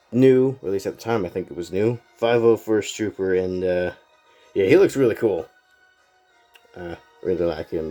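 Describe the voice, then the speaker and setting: Low-voiced man with a stuffy nose. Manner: rambling, relaxed, lots of fillers. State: congested, slightly nasal from a cold. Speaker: toy set reviewer. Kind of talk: casual product review.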